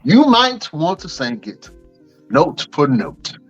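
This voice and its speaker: A man's voice: fairly high pitch, huge pitch swings, slow, slightly rough, highs cut off, faint music behind. A man co-hosting a wrestling podcast in a laid-back, slangy way, plugging the show and chatting with his co-host. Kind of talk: casual podcast chat.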